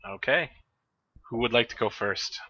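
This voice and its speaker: A man's voice: mid-range voice, normal speed, pushed and bright, dull audio, slight background noise. A man running a tabletop game as game master, matter-of-fact with dry humor.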